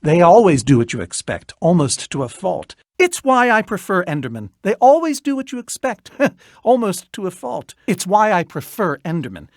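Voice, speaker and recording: An eccentric middle-aged scientist for a video game. His voice sits a little high and swoops widely through pompous, wordy phrasing. He sounds like a lonely, excitable scholar, recorded close and dry. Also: moderate pace, no room sound. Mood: wry. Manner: theatrical, verbose, pompous scholarly diction. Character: eccentric, lonely, excitable male researcher obsessed with studying creatures. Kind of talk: animated video game voice acting.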